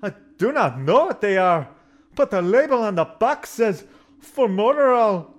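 An actor playing a fussy, grumbling starship engineer with an in a sci-fi parody audio drama. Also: male voice, sits high, sing-song, unhurried.

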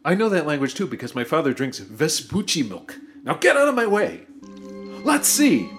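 A greedy, scheming spaceship crewman, played by a man, whining and squealing in a comedy audio play. The voice runs high and swoops all over, over a faint music bed. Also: wildly swooping pitch, moderate pace. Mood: greedy, giddy. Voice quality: whiny, swings into giddy squeals. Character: greedy whiny male crewman, excitable and scheming.

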